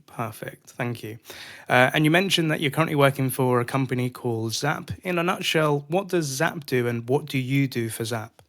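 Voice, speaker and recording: A man's voice: mid-range voice, moderate pace, a bit bright. A man hosting a podcast, introducing the show and interviewing a guest. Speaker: podcast host.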